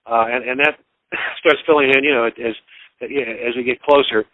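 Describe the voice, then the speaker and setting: A man's voice: mid-range voice, fairly even intonation, no room sound. Man, sports broadcaster being interviewed, rambling folksy talk, dry close sound, phone-like narrow band. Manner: halting, rambling, folksy delivery. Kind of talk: casual sports interview commentary.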